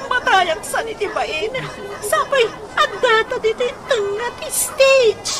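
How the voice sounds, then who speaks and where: A woman's voice: extremely high pitch, animated, slow pace, over loud music, over a noisy crowd, full character voice. A woman playing a vain, bossy wife and mother in an Ilocano radio comedy, demanding and whiny. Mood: showing off.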